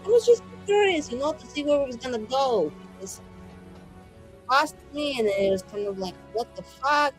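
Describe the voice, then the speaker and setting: A woman's voice: high voice, lively intonation, moderate pace, soft background music, voice pushed up, poor audio. A woman improvising a flippant, dramatic, self-deprecating adventurer in a tabletop roleplaying game. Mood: defensive.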